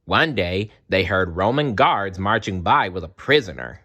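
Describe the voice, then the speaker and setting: A storyteller reading aloud to children in an expressive way, with dramatic pauses and playful asides. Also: sits low.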